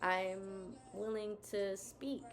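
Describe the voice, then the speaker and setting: A woman's voice: medium pitch, fairly even intonation, very slow, over music. A woman co-hosting a casual talk, sharing everyday examples in a chatty way. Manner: chatty, storytelling. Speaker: co-host.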